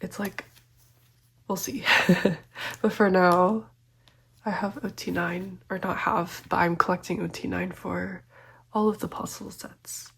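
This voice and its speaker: Soft-spoken, slightly congested young woman. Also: on the low side, fairly even intonation, unhurried, creaky, slightly rough. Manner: gentle, chatty, lots of ums and small laughs. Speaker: photocard collecting vlogger. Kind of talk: soft-spoken collecting vlog, often whispered.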